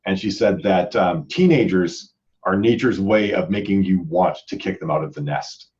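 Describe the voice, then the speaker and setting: Male, low-pitched, conversational storyteller in an informal chat, lo-fi dull audio. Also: moderate pace, a little mellow. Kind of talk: casual anecdotal chat.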